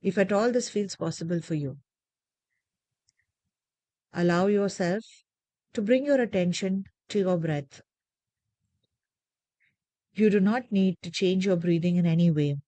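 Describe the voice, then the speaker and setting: A woman leading a guided meditation with gentle, measured, soothing instructions and deliberate pauses. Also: fairly low pitch, dry recording.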